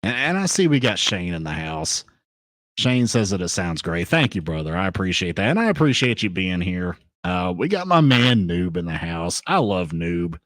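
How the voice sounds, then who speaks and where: Guy doing a solo podcast, low voice that jumps all over, hyped and loose, dry mic sound. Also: very animated, moderate pace, dry recording. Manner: hyped, off-the-cuff banter with chat shout-outs. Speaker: podcast host. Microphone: studio microphone with compression.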